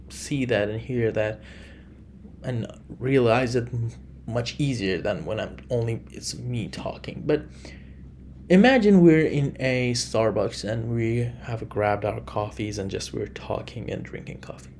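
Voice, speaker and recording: A man from Iran, a native Farsi speaker with an Iranian accent, hosting a solo podcast in an unhurried way, often restarting and correcting himself. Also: mid-range voice, darkish tone, slight background noise. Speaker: podcast host.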